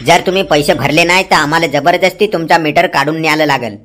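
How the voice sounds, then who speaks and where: A man's voice: a little high, quick pace, background noise, lightly acted. A man voicing an officious, evasive electricity office clerk in a comedy cartoon, defensive and given to explaining. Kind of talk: Marathi comedy cartoon dialogue. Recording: music behind the voice. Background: music.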